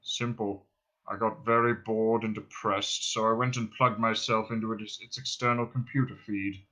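A man voicing a deeply depressed, self-pitying robot in an audiobook, in a muffled, dejected drone. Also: level tone, sharp tone. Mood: gloomy, dejected. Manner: dejected, slow, gloomy delivery. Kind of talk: audiobook character voice.

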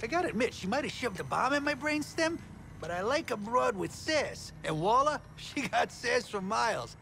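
A man's voice: very high voice, sing-song, moderate pace, creaky, pushed and bright, slight background noise, exaggerated voice. A man voicing a small, crude gerbil inventor in a video game, brash, cocky and insulting. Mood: smug. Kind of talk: video game cutscene acting.

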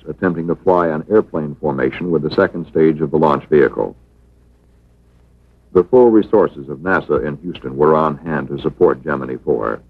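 A man with a very low voice narrates a documentary from a script. His delivery is measured and matter-of-fact. The sound is thin and boxy, like an old 1960s film reel. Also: slightly rough, narrow, tinny audio.

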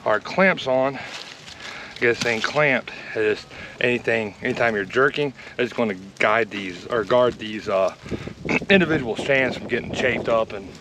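A man's voice: medium pitch, measured pace. Middle-aged man, casual how-to narrator, matter-of-fact, recorded outdoors with faint background noise. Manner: relaxed, matter-of-fact, thinking aloud while working. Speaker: homesteader demonstrating a repair. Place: outdoors in a leafy yard.

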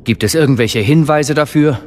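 A man's voice: fairly high pitch, normal speed, soft background music, natural voice. An actor playing a cautious, wry male warrior mentor in an audio drama, admonishing his pupil. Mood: calm, cautious.

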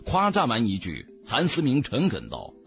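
A man narrating an audiobook in a dramatic, theatrical, expressive storyteller's manner. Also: medium pitch, moderate pace, faint music behind.

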